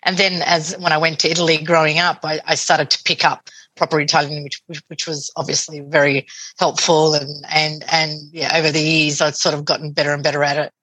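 An Australian woman answers interview questions in a chatty, meandering way. Her voice is low yet bright and forward. The recording is dry and close. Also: flat delivery, a little fast, dry recording. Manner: chatty, many fillers and restarts. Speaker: guest, food tour founder.

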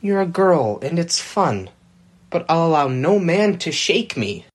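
A woman's voice: sits low, very animated, unhurried, breathy edge, exaggerated voice. A woman reading aloud, voicing a proud, hot-tempered young man who sulks and talks back. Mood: indignant. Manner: sulky, defiant.

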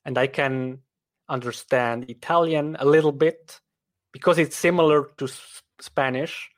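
Slow, halting Swiss German man. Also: medium pitch, fairly even intonation. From Switzerland. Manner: halting, with frequent restarts and "right?" tags. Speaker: podcast guest. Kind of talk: casual interview chat.

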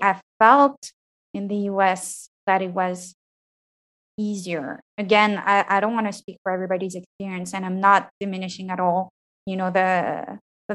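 Woman in her thirties, high voice, interview guest, frank and reflective, restarts often. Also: fairly bright tone, rough recording. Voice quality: fairly high-pitched voice. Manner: thoughtful, frank, with frequent restarts. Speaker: interview guest, PhD graduate.

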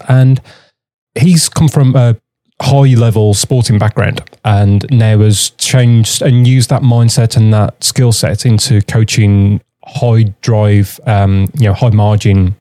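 Mellow-voiced male podcast host, dry sound. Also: on the low side, fairly even intonation, measured pace, dry recording. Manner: agreeable, uses tag questions, many fillers. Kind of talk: podcast conversation.

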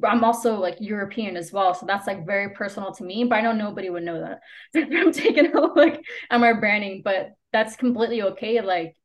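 A woman's voice: mid-range voice, fairly quick. A woman whose first language is Spanish, chatting casually as a podcast guest, peppering her informal talk with "like". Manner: informal, frequent "like" as filler. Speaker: podcast guest, copywriter and business owner. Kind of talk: casual interview conversation.

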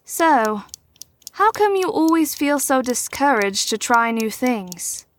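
Audio drama mentor: woman with a notably high voice, formal and measured, poised and gently probing. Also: very animated, a little hiss or hum, heavily acted. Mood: probing, lecturing. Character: poised, probing female mentor and chess player. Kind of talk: scripted audio drama acting.

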